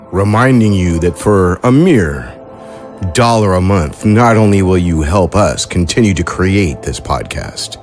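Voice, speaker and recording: Slow, deep-voiced podcast host. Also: male voice. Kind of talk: scripted podcast intro.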